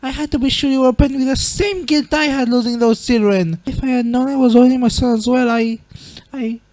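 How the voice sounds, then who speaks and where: Mellow, motherly monster queen, acted by a woman. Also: sits high, steady tone, brisk, no echo, close to an everyday voice. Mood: anguished, self-blaming. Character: gentle, proper motherly monster queen, remorseful. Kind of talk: dramatic fan comic dub acting.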